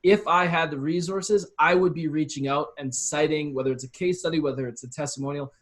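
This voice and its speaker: A man's voice: fairly high pitch, steady tone, fairly quick. A man giving advice as an interview guest, explaining his approach casually and conversationally. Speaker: interview guest, copywriting expert.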